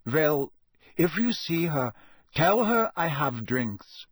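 A man's voice: a little high, very animated, slow pace, no echo, exaggerated voice. A man voicing a surly, terse young foreign man in an audiobook.

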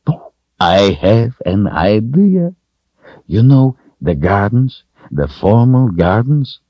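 A man voicing a kindly, tender storybook wizard, thoughtful and playful, with a drawn-out delivery. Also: lowish voice, big pitch swings, no room sound, highs cut off, pitched above the performer's own voice, full character voice. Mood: eager, conspiring.

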